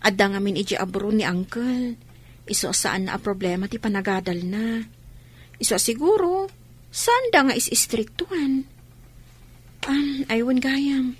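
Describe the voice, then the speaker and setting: An earnest young schoolgirl sweetheart in an Ilocano radio drama. Her voice is high and youthful, with an Ilocano accent and a dutiful, sincere manner. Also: expressive, unhurried, pauses often, slightly rough. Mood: earnest, reassuring. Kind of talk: Philippine radio drama acting.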